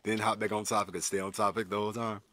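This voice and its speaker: A man's voice: fairly low pitch, level tone, fast, bright, forceful tone, slight background noise. A man co-hosting a podcast in a laid-back, agreeable way, chatting casually about a movie. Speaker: podcast co-host. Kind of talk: casual movie review chat.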